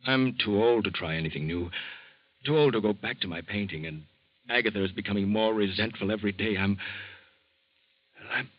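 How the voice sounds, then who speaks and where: An actor playing a gentle, worn-out older uncle who speaks softly and haltingly in an old radio drama. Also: male voice, on the low side, no room sound, close to an everyday voice. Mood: despairing. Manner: soft-spoken, halting. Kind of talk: 1940s radio acting. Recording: dull old radio recording.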